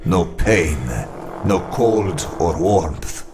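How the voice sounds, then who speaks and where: A man playing a cold, imperious armored villain who narrates his origins in a grandiose, solemn, deliberate way for a motion comic. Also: low-pitched. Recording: loud music underneath.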